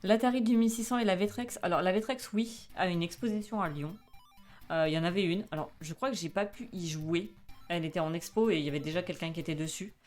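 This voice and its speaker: A woman's voice: lowish voice, quick pace, darkish tone, soft background music. A woman in her twenties chatting casually on a live stream, with many fillers, reading chat aloud and laughing often. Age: 20s.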